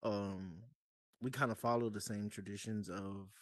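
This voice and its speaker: Male guest, relaxed storyteller, lowish voice, dry. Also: measured pace, dry recording. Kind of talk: casual group chat.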